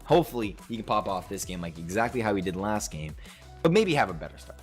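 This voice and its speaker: A young man casting an esports match as color commentator, explaining strategy in a lively, animated way. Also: on the low side, quick pace, soft background music. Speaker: color caster.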